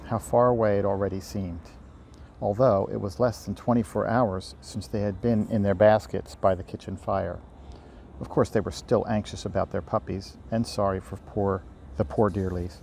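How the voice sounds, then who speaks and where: Low, gravelly man reading a story outdoors. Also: steady tone, normal speed, darkish tone. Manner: unpolished, stumbles and rereads lines. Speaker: amateur audiobook reader and host. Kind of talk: informal storybook read-aloud. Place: outdoors by a river. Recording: faint outdoor ambient noise. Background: faint outdoor ambience.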